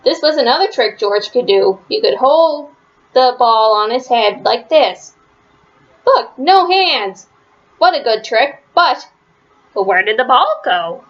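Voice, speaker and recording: A woman reading a children's story aloud, phrasing it simply and deliberately and stressing words for young listeners. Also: fairly high pitch, lively intonation, lo-fi sound. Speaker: storybook reader. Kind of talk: children's read-aloud narration.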